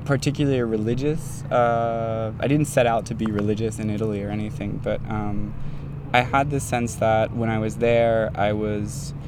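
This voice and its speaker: A man's voice: lowish voice, little pitch movement, moderate pace. Rambling young man, street noise behind. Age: 20s. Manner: rambling, many fillers like "like" and "um". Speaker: interviewee, doctoral student. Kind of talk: casual personal storytelling.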